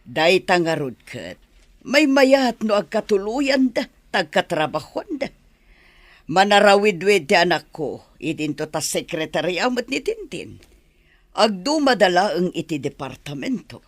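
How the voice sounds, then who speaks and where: A woman's voice: lowish voice, big pitch swings, a little slow, no room sound. A woman with an Ilocano accent playing an older, nosy matchmaking mother in a Filipino comedy radio drama. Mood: scheming, proud. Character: older village mother, nosy, scheming matchmaker for her son.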